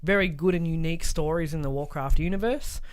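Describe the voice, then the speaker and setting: Male podcast co-host, slightly high voice, emphatic, swears freely, casual and opinionated. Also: brisk, clear, resonant tone.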